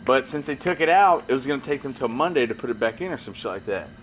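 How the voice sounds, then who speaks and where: A man telling profane stories in casual chat, animated and laughing through them. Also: medium pitch, fast talker, a little hiss or hum, rough recording. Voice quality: gravelly, swears constantly. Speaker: podcast co-host telling stories.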